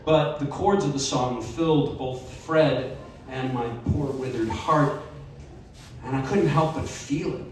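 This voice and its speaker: A man giving a live reading of a personal story to an audience, earnest and sometimes slowing to a hushed tone. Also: medium pitch, slight background noise. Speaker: story reader. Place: live reading event in a bar. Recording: echoey room, distant mic.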